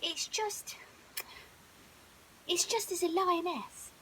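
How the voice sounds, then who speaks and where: A woman's voice: very high-pitched, takes it slowly, fairly bright tone, voice pushed up, exaggerated voice, low-quality recording. A woman voicing an insecure, lovestruck young male lion in a storybook, hesitant and informal. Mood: troubled. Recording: hissy background noise.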